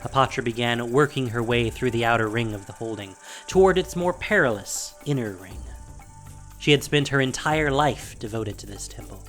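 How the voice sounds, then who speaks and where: A man narrating a scripted fantasy audio drama with measured delivery and dramatic pauses. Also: mid-range voice, a bit bright. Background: faint music.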